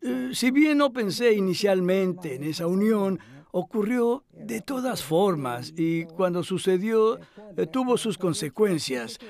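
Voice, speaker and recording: A male voice actor dubbing an elderly, modest philosophy professor who reminisces in a measured, hesitant way in an interview. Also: high-pitched, a little mellow. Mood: measured, explanatory. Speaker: dubbed interviewee. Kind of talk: voice-over dub of a documentary interview.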